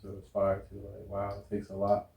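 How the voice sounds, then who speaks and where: A man's voice: on the low side, monotone, normal speed, highs cut off, a little hiss or hum. An American man talking casually and candidly as an interview guest, rambling and often checking in with his listener. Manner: rambling, repeats "you know what I'm saying". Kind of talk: casual, candid conversation about a relationship. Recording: roomy, echoey sound.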